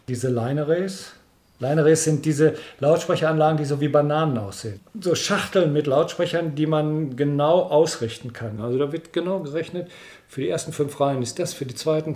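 A man's voice: mid-range voice, creaky, darkish tone. A man from Dortmund speaking casually and colloquially as a sound engineer explaining his work in an interview. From Dortmund, Germany. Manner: colloquial, acts out quoted dialogue. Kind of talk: casual expert chat.

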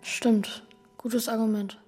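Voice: mid-range voice, flat delivery, slow pace, a little mellow, husky, dry recording, faint music behind. A young performer playing an earnest, worried boy who narrates his own story in a German children's radio drama. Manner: earnest, plain-spoken, childlike.

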